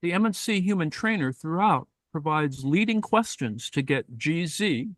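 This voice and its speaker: A man reading a text aloud in a live-streamed discussion and commenting on it, thoughtful and halting, with many fillers and asides. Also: fairly high pitch, expressive, a bit bright, no echo.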